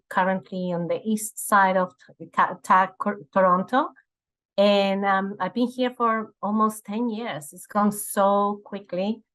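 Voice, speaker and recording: An earnest woman from Ecuador in her forties talks through her answers in a lowish voice. She searches for words often, repeating herself and filling pauses as she goes. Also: a bit bright, rough recording. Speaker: guest, nonprofit fundraising director. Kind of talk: conversational interview answers.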